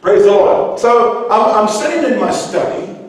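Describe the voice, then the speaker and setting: A middle-aged man preaching with fervor, his high voice swinging from quiet talk to ringing shouts. He is from New Zealand and grew up in Iceland. The sound comes from far off in a big, echoey church hall with a long tail of reverb. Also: expressive, unhurried. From New Zealand, raised in Iceland. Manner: impassioned preaching, rising to shouts. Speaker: pastor. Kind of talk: sermon. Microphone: handheld mic, heard from a distance in the room.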